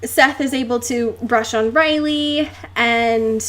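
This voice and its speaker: High-voiced young woman chatting casually. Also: takes it slowly, fairly bright tone. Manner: informal, sweary, with frequent "like". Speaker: podcast co-host. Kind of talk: casual chat, recapping a novel.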